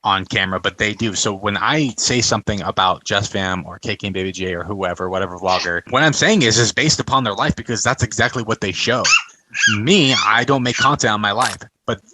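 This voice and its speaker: A man hosts a casual talk over a video call, pressing his points in an emphatic, outspoken way. His voice is bright and forceful and sits a little low. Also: a little fast, slight background noise, poor audio. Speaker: host.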